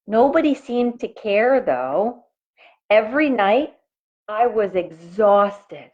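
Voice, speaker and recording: Woman voicing an earnest storybook egg, slow, sing-song melody. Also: mid-range voice, very animated, a little mellow. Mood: weary, strained. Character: earnest, well-meaning, overburdened good egg, storybook narrator. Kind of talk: children's storybook narration in character. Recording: phone-like, narrow-band sound.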